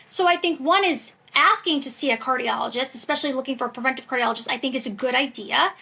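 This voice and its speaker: A woman's voice: high-pitched, fast, vocal fry, pushed and bright, husky. A woman speaking as an expert guest on a podcast, explaining things conversationally in an emphatic, reassuring way. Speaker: guest expert, preventive cardiologist. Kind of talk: conversational podcast interview answers.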